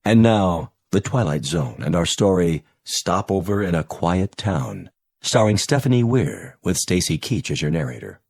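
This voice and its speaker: Very deep-voiced male radio announcer. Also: expressive, unhurried, a little mellow. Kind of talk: scripted radio drama announcement.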